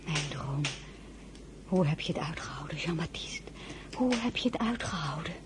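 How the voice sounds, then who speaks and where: A woman's voice: deep voice, animated, moderate pace, noisy background. An actress playing an anxious, tender royal lady, a general's wife, in a Dutch audio drama. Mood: whispered sorrow.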